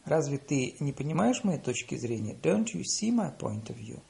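A man reading paired lesson phrases, saying the English slowly and deliberately after quicker Russian. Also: mid-range voice, sing-song, gravelly, a little mellow. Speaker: language teacher.

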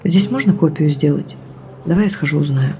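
A confident young friend in an audio drama, in a lowish voice with plenty of vocal fry. She is informal yet articulate and sure of her views. Music plays behind her. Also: a little fast, warm tone. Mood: curious, informal. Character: articulate, lively young woman, opinionated reader and friend.

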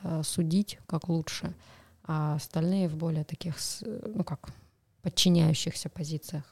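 A woman co-hosting a relaxed podcast, speaking in a low, gravelly voice. She interprets cards thoughtfully and backs up her partner with quick agreement. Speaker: podcast co-host, tarot reader. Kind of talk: casual podcast chat.

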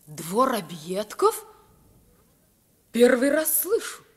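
A woman's voice: fairly high pitch, very animated, slow, husky, exaggerated voice. A woman playing a sheltered, self-assured young prince who is curious and genteel, in a radio play. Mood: curious, gracious. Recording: vintage 1950s radio play recording.

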